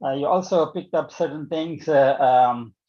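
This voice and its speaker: A man giving feedback in an online meeting, halting and wordy as he circles back over his phrases. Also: fairly high pitch, dark tone. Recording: dull online meeting audio.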